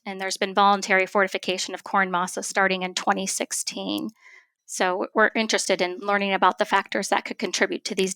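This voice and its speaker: Bright-voiced woman giving measured expert answers. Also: mid-range voice, dry recording. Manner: measured, formal, precise explanations. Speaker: expert guest, family physician. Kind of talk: explanatory interview answers.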